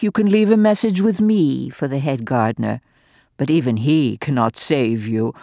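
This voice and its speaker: A venomous old aristocratic woman, full of malicious glee, as a reader puts her on. Her voice rides high and swoops widely as she sneers and gloats. She speaks slowly in a dry, close sound. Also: creaky, darkish tone, no echo. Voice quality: sneering, theatrical.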